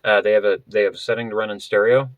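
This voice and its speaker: Guy with kinda low voice doing a casual gear vlog, stop and start, rewords stuff, no room echo. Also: fairly even intonation. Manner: hesitant, halting, often trailing off to rephrase. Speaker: vlogger presenting a budget gear setup. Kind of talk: casual unscripted product explanation.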